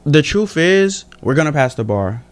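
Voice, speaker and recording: A man's voice: on the high side, sing-song, normal speed. Encouraging young man chatting casually. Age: late 20s. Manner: earnest, encouraging, slangy. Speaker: guest, law student. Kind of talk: casual podcast conversation.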